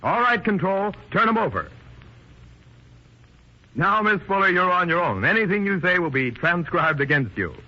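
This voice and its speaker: A man's voice: high voice, very animated, moderate pace, long pauses, highs cut off. A man presenting on 1930s radio as announcer and host, with a formal showman's delivery, on an old band-limited recording.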